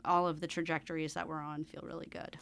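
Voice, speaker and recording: A woman's voice: sits low, little pitch movement, fast talker, gravelly, fairly bright tone, clean tone. Female musician as interview guest, conversational, reflective, earnest.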